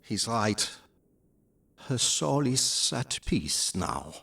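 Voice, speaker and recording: A man playing a grave, commanding professor and vampire hunter with a German accent, formal and deliberate, in a gothic horror drama. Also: medium pitch, very animated, vocal fry, pushed and bright, husky, dry recording, full character voice. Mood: solemn.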